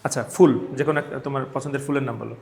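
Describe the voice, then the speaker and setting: A man's voice: medium pitch, steady tone, rapid-fire, creaky, a little mellow, slight background noise. A man with a Bangladeshi accent teaching a live class, emphatic, repeating phrases and checking that students follow. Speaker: spoken English teacher. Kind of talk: live classroom instruction with drills. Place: classroom, indoors. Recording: echoey room.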